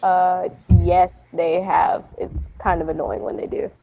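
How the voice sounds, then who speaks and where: A woman's voice: fairly low pitch, steady tone, unhurried, gravelly. A young woman, a college student, chatting as a guest on an online call, playful and teasing with frequent giggles. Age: young adult. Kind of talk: casual online chat. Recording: dull lo-fi voice chat with faint hiss.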